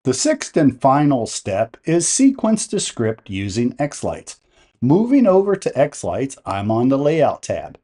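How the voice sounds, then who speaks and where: Mellow male voice, medium pitch, tutorial presenter, methodical step-by-step explanation, plain-spoken. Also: wildly swooping pitch, slow. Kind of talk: step-by-step software tutorial narration.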